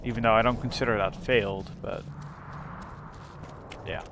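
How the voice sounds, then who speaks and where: A young man with a slightly rough voice giving rambling, casual commentary while playing a game. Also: lowish voice, steady tone, normal speed, background noise. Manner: rambling, self-correcting. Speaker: let's play host.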